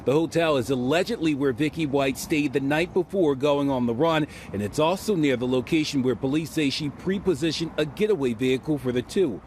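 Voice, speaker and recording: A man's voice: fairly high pitch, fairly quick, few pauses, a little mellow, a little hiss or hum. A man narrating a scripted television news report as a correspondent.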